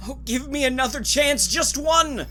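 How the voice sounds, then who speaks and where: A man's voice: very high voice, lively intonation, normal speed, pushed and bright, slight background noise, exaggerated voice. A man playing a desperate condemned poet in a dystopian audio drama, with an intense, dramatic delivery. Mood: desperate pleading. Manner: intense, pleading, stammering when distressed. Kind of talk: dramatic audio drama acting with first-person narration.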